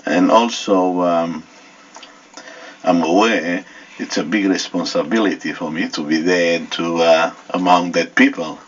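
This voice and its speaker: A male pastor, a guest on a radio interview, speaking haltingly and searching for words in English. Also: sits low. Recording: muffled, distant radio studio recording.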